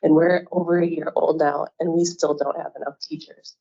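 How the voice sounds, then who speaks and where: Deep, gravelly-voiced woman, dull recording. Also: flat delivery, normal speed, warm tone. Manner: plain, earnest explaining. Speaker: childcare center co-owner. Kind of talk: panel discussion. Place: event room with audience.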